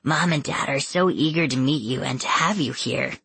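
A man's voice: medium pitch, normal speed, creaky, fairly bright tone, husky, dry recording, heavily acted. A man voicing a polite, smug young villain girl with a whispery voice in an audiobook. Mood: sly politeness.

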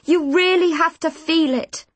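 A woman's voice: very high-pitched, measured pace, dry recording, voice pushed up. A woman voicing a commanding male mentor in a children's story, urgent and instructing. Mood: urgent coaching. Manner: forceful, instructing.

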